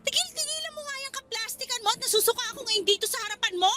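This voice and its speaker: A confrontational young office worker in a radio drama. Her voice is very high, loud and accusing, full of scorn. Music plays clearly behind her. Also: racing. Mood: angry, scornful. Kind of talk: Tagalog radio drama acting.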